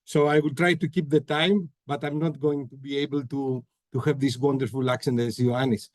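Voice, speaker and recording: A man's voice: medium pitch, flat delivery, moderate pace, no room sound. Greek-accented man, dry close audio. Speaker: university professor. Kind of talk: virtual panel presentation.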